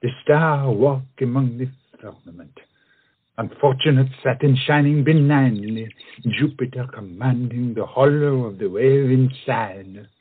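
A man's voice: mid-range voice, a little slow, slightly rough, narrow, tinny audio. An actor playing a proud, witty, self-conscious French swordsman-poet in a comic audio play, with florid, dramatic phrasing. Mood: dreamy, poetic. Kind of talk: theatrical comedy audio-play acting.

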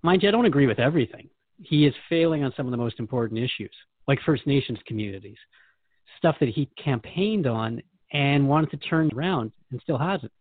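A man hosts a conversational radio show with earnest curiosity. He talks quickly and with lively, varied intonation. The sound is dry and narrow. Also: medium pitch, dry recording. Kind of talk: conversational scripted radio hosting.

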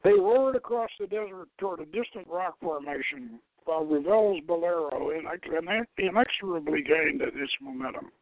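A man reads fiction aloud in a theatrical, slightly halting manner. His voice sits on the high side and swings widely in pitch, with very little room around it. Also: animated, no room sound, narrow, tinny audio. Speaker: show host reading own novel. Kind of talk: dramatic story reading.

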